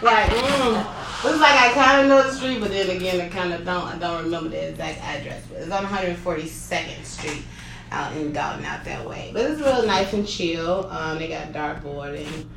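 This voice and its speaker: A woman's voice: fairly low pitch, moderate pace, creaky. A middle-aged woman co-hosting a casual chat show, telling stories with lots of laughter. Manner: laughing, chatty storyteller. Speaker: co-host. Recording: roomy, a bit distant, faint noise.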